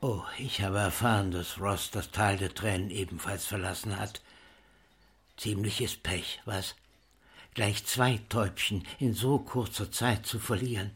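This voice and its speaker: An actor playing an oily, sardonic pawnbroker in a radio drama, very raspy, smarmy and mock-polite. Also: male voice, fairly low pitch, fairly even intonation, measured pace, fairly bright tone, full character voice. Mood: mock-polite, sly. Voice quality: very raspy, husky. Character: oily, sardonic male London pawnbroker, mock-courteous and callous.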